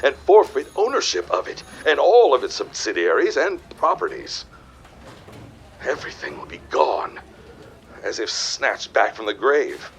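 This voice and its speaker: A man's voice: medium pitch, huge pitch swings, unhurried, creaky, a little hiss or hum, exaggerated voice. An actor playing a scheming, domineering businessman in a period audio drama, speaking in a smug, formal, theatrical way. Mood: desperate.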